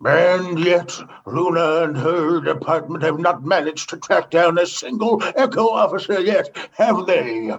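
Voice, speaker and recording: Radio comedy commodore, male actor: demanding, pointed, highish gravelly voice, dull streamed sound. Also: measured pace, few pauses, heavily acted. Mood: challenging, pointed. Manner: pointed, condescending questioning. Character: skeptical, demanding commodore inspecting a space station. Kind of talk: comedy sci-fi radio acting.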